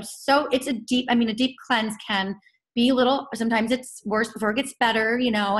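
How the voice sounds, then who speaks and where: A woman hosting an online interview, asking long, friendly, rambling questions with fillers, her throat a little sore. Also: mid-range voice. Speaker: interview host. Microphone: computer on a video call.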